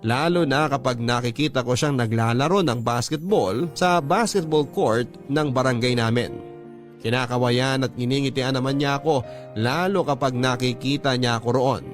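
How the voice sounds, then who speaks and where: A man's voice: mid-range voice, moderate pace. A man reading a listener's letter as scripted first-person story narration, as the host of a storytelling show. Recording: music behind the narration.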